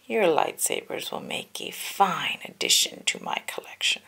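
A woman's voice: fairly low pitch, huge pitch swings, unhurried, vocal fry, sharp tone, slightly rough, in a whisper, exaggerated voice. A woman voicing a menacing male cyborg villain general from a children's storybook, gloating and barking commands. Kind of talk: children's storybook read-aloud.

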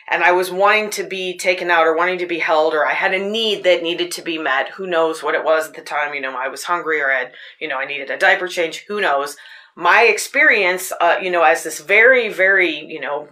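Low-voiced woman host, earnest and bright. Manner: earnest, personal storytelling with frequent restarts. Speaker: podcast host. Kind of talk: casual spoken reflection. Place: Tucson.

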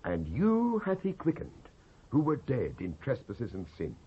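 Expressive British man reading scripture, slightly husky. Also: mid-range voice, unhurried. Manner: solemn, declamatory. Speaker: Bible reader. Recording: muffled old cassette transfer.

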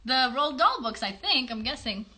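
A woman in her thirties hosts a children's livestream in a bright, fairly high voice. She talks in simple, encouraging phrases and asks plenty of questions, recorded on a phone in a small room. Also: sing-song, normal speed. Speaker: host and puppeteer of a children's show. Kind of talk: child-directed chat on a livestream. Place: small bedroom-like room indoors. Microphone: phone a short distance away.

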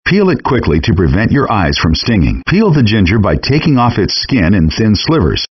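Male game chef voice, low and a bit husky, calm instructional read, muffled lo-fi game audio. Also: moderate pace. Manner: calm, even instructional delivery. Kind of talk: scripted recipe instructions. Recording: dull lo-fi game audio rip.

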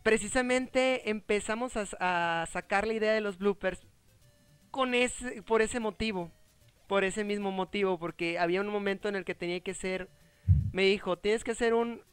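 A man in his thirties with a Mexican accent, chatting casually and excitably as a gaming show host, joking and repeating his phrases. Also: high-pitched, moderate pace, clean tone, no echo, faint music behind. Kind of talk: casual chat over gameplay.

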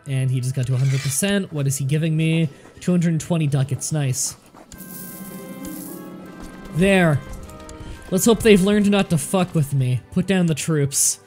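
A man's voice: a little high, darkish tone. Nasal, chatty man narrating a strategy game. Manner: chatty, thinks aloud, talks to game characters. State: more nasal than usual, stuffed up. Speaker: let's play commentator. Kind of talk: casual gameplay commentary.

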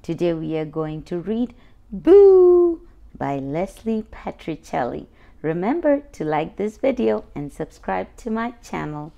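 A woman's voice: lowish voice, sing-song, a little slow, vocal fry, mellow. A woman host reading a picture book aloud for young children, expressively, with exclamations and questions to the listener.

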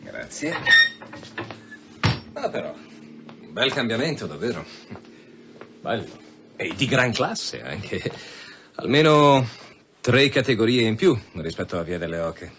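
An actor playing a sardonic, insistent police commissioner in an Italian radio drama, with sarcastic repetitions and veiled threats. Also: male voice, lowish voice, big pitch swings, slow, pauses often, heavily acted. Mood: sardonic, menacing. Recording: dull sound with faint background noise.

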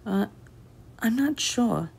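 A soft-hearted, hesitant cartoon giantess acted by a woman, polite and apologetic. She speaks in a halting way, with a breathy husk and some creak in the tone. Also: on the high side, expressive, exaggerated voice. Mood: hurt, apologetic. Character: gentle, hesitant, apologetic giant female gem ruler. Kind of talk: amateur cartoon comic dub.

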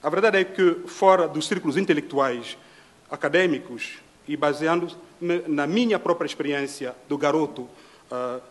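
A middle-aged man from Congo, speaking Portuguese as a panel speaker, in a measured, formal way with frequent pauses. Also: on the high side. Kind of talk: formal reflective talk. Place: dim hall at a conference table.

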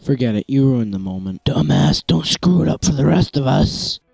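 Animated man doing a comedic fan dub. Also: mid-range voice, moderate pace, vocal fry, husky, dry recording, faint music behind. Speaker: amateur voice actor dubbing all parts of an abridged anime parody.

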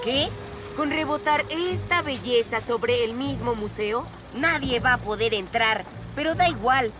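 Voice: mid-range voice, lively intonation, a little slow, loud music behind the voice, natural voice. A performer voicing a boastful, gleeful ninja villain boy in a Latin American Spanish cartoon dub, theatrical and gloating. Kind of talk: animated children's cartoon dub.

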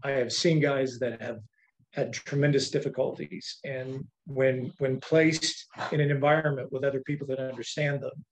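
A man moderates a video call with a polite, deferential manner and calls his guests sir. His pitch sits mid-range, neither high nor low. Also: fairly even intonation, moderate pace, poor audio. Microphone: webcam mic on video call.

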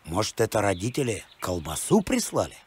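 A man voicing a naive, excitable, soft-hearted dog in a cartoon, earnest and simple-hearted. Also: lowish voice, brisk, breathy edge, heavily acted. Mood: curious. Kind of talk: animated cartoon acting.

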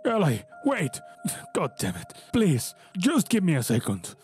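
A man playing a massive, gruff male dog fighter who speaks in a halting, apologetic way. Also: on the high side, huge pitch swings, a little mellow, soft background music, exaggerated voice. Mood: pleading.

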